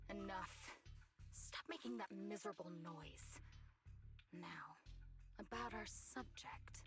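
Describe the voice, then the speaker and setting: A bitter young female cyborg who was once a soldier, taunting in slow, breathy whispers. Her voice leaps around in pitch as she mocks. Game music plays behind her. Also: a bit bright, exaggerated voice. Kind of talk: video game voice acting.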